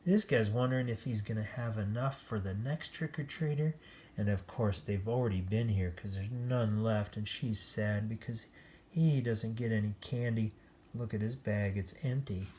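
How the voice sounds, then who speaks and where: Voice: mid-range voice, moderate pace, warm tone, a little hiss or hum. A read-aloud storyteller reading a children's picture book expressively, with playful, chatty asides.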